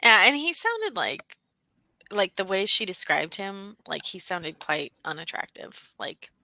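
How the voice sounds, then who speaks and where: A woman's voice: mid-range voice, big pitch swings, unhurried, sharp tone, no room sound. A woman co-hosting a book discussion, chatting casually and tentatively, peppering her speech with "like.". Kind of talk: casual chat.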